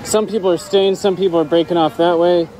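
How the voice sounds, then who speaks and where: A man's voice: high voice, steady tone, brisk, rough recording. Man reporting live from a street crowd. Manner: hesitant, many ums and restarts. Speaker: independent livestream reporter. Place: outdoor street at night, protest crowd. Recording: outdoor phone audio with crowd.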